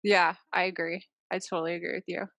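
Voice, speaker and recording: A woman's voice: on the low side, level tone, fast talker, fairly bright tone, no echo. A woman hosting an interview, asking questions in an upbeat, encouraging way. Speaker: interviewer, host. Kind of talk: casual interview.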